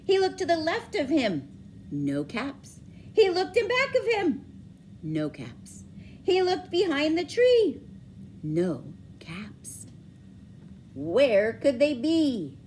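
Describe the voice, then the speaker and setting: A woman reads a children's story aloud with dramatic emphasis. Her voice is high and very animated, and she takes her time, drawing out words. There is faint noise behind her. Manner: dramatic emphasis, drawn-out words, questions to young listeners. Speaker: storybook reader for schoolchildren. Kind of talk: expressive children's read-aloud.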